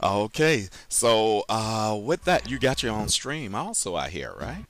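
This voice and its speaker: Deep-voiced, animated male talk show host. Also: a little slow. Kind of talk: hosting a chat interview in a virtual world.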